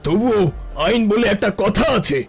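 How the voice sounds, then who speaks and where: A man's voice: sits high, measured pace, vocal fry, soft background music. A man voicing a cornered, cowardly village schemer, whining defensively in a folk-tale cartoon. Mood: defensive panic. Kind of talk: dramatic Bengali folk-tale cartoon dialogue.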